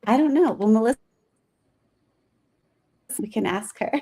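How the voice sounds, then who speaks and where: A woman hosting a live online interview in a chatty way, full of "like" and "um", her voice a little raspy from talking all morning. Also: fairly high pitch, expressive, unhurried, dark tone, no room sound. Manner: chatty, filler-heavy, says "like" often. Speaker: host of live interview series. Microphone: video call.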